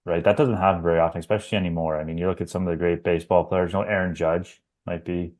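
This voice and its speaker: Low-voiced man in his thirties. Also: flat delivery, racing, a little mellow. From Canada. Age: 30s. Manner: conversational, rambling with fillers. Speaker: podcast co-host. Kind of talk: casual sports chat.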